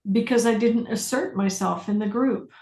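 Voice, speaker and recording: A woman facilitating a self-inquiry class, speaking deliberately with pauses, questions and phrases repeated for emphasis. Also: fairly high pitch, darkish tone. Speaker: workshop facilitator leading a group class. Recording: roomy echo on the voice.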